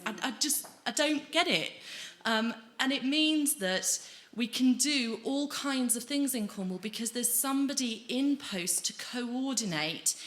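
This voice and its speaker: A woman's voice: mid-range voice, fairly bright tone. A young woman giving an earnest, emphatic conference presentation at a lectern microphone. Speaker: conference speaker, schools anti-homophobia worker. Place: auditorium stage at a lectern, indoors. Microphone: gooseneck lectern microphone.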